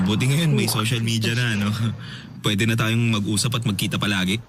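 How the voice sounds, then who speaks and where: A man's voice: lowish voice, fairly even intonation, moderate pace, background noise, lightly acted. An actor playing a shy, eager man in his thirties who stammers nervously and laughs things off in a radio drama. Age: 30s.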